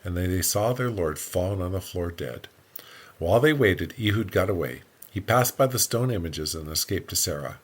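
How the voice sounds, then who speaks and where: Husky, low-voiced man with animated delivery. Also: moderate pace. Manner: jokey, wry. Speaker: podcast co-host reading scripture aloud. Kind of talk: Bible reading with casual, joking asides.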